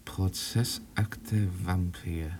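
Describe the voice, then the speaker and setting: A very low-voiced private detective in a 1950s radio drama, brooding yet eager. He mutters and muses aloud, with faint noise behind him. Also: extremely slow, a little mellow. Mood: musing, intent. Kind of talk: 1950s radio play acting.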